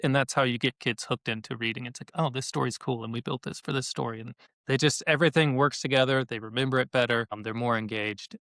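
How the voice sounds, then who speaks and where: A man with a mid-pitched voice talks conversationally as an interview guest. He explains by example and slips into quoted remarks, in a very dry recording. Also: steady tone, fast talker, a bit bright, no echo. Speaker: podcast guest, educational activity creator.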